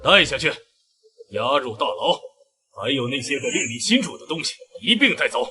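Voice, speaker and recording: An actor playing a stern, coldly mocking emperor in a costume drama. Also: male voice, mid-range voice, sing-song, normal speed, pushed and bright. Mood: stern, commanding.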